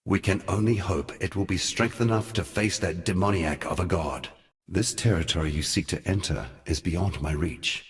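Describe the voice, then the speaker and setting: A low-pitched, noble god from a fantasy game. He speaks gravely and in lofty, measured phrases, like a mentor guiding mortals. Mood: grave, instructive. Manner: solemn, measured, lofty archaic speech. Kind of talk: dramatic fantasy game voice acting.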